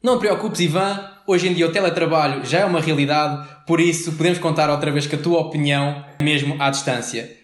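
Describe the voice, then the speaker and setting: A man's voice: on the high side, steady tone, fairly quick, roomy sound. A man with a European Portuguese accent, co-hosting a comic podcast in mock tour-guide patter. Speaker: podcast co-host. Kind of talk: scripted comic podcast segment.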